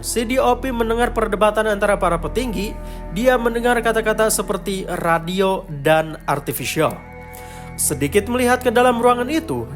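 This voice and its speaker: High-pitched male horror story narrator. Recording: music behind the voice. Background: clear background music.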